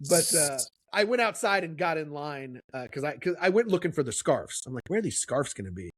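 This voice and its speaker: A man's voice: on the high side, very animated, fairly quick, no room sound, clean studio sound. Rambling, storytelling man. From Kansas City, USA. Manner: rambling storyteller, acts out quotes. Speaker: podcast co-host. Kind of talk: casual chat.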